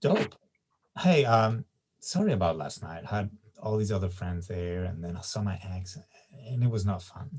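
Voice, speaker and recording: Man acting low-voiced, laid-back young guy. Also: animated, normal speed, darkish tone. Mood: awkward apology. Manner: hesitant, laid-back, slangy. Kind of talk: naturalistic romantic comedy table read.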